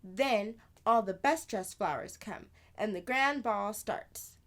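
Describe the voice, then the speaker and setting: A woman voicing a playful, knowing older sister who tells fanciful tales in an animated, confiding way for a children's storybook. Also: medium pitch, takes it slowly, voice pushed up. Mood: excited, confiding. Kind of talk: children's storybook read-aloud.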